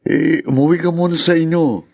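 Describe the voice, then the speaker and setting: A man's voice: on the high side, fairly even intonation, brisk, creaky, darkish tone, no echo, clean studio sound. A man playing a concerned, protective young friend, speaking earnestly and with sympathy in a radio drama. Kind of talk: Tagalog radio drama acting.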